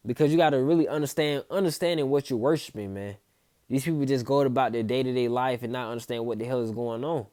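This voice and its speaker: A man's voice: mid-range voice, quick pace, warm tone, dry recording, clean studio sound. A man, preaching informally from scripture, repeating himself and tagging lines with "man" and "okay". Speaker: street preacher.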